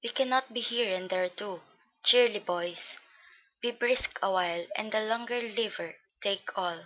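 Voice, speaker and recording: A woman playing a put-upon young servant in theatrical Shakespearean style, brisk and plain-spoken. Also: medium pitch, a bit bright, highs cut off, close to an everyday voice. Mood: cheery, harried. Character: cheery, put-upon young serving lad.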